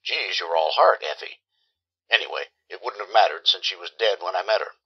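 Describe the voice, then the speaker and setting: A man's voice: low-pitched, brisk, husky, lo-fi sound. A man playing a wry, world-weary private eye, narrating dryly in an old-time radio noir style. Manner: dry, sardonic. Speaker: lead actor and narrator.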